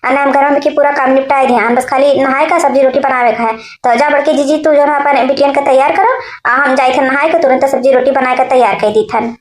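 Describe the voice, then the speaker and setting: High-pitched village sister-in-law, acted by a woman, roomy echo. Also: fairly even intonation, brisk, lightly acted. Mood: earnest, affectionate. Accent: eastern Uttar Pradesh. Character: rural sisters-in-law, fussing affectionately over housework. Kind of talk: cartoon family comedy dialogue in dialect. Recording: roomy echo, noticeable background noise.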